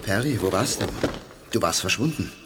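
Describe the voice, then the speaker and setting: A blunt, fretful male sidekick played by a man reacts with lively, anxious intonation. Loud background noise runs under his lines, as in an old radio drama. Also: fairly low pitch, normal speed, lightly acted. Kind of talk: 1980s radio play acting.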